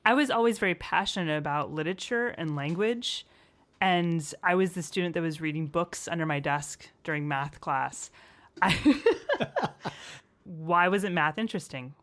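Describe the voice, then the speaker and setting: A woman teacher co-hosting a casual podcast, cheerful and quick to laugh. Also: on the low side, lively intonation.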